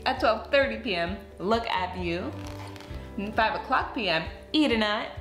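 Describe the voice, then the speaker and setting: A woman reading a children's storybook aloud in an expressive, dramatic way for young listeners. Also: mid-range voice, normal speed. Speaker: children's book read-aloud host. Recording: roomy echo with music behind. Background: music.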